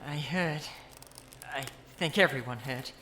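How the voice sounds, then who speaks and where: A woman's voice: sits low, a little slow, sharp tone, slight background noise. An actress playing a doubtful young woman who hedges and hesitates with many fillers. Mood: uneasy. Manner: hesitant, filler-heavy, unsure.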